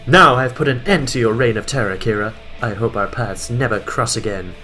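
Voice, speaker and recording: A man's voice: mid-range voice, fairly quick, pushed and bright, faint music behind, natural voice. A man voicing a stubborn, messy detective who makes smug, declarative accusations. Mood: accusing.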